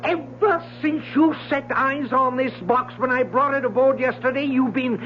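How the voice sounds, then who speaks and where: High-pitched, indignant, secretive man, old radio drama. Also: normal speed. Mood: furious, accusing. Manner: curt, accusing, indignant. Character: angry, secretive married man guarding his mysterious box. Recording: noisy, dull old radio broadcast.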